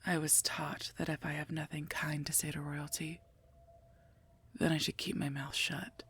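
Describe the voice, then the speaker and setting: A woman voicing a sardonic female werewolf knight and mercenary bodyguard in an ASMR roleplay, husky and gravelly, dry and curt. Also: low-pitched, level tone, moderate pace, fairly bright tone, full character voice. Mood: dry, menacing. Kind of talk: scripted ASMR roleplay, addressed to listener.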